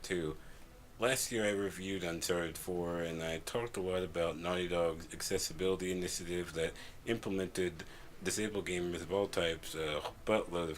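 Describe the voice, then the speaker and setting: A man reviewing a video game in scripted narration, a little stuffy from a cold, plain and friendly. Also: low-pitched.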